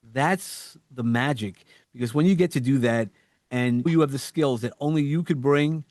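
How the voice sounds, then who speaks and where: A man's voice: medium pitch, normal speed, no room sound. New York man, dry close sound. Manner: energetic, stammers and repeats words, acts out quotes. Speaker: podcast guest, coach. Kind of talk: casual interview chat.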